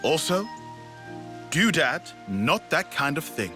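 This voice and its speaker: A man's voice: a little high, very animated, slow pace. A man voicing a fussy, sensible small creature tinkerer in a video game, lecturing drily in clipped broken English. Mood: matter-of-fact. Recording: loud game music underneath.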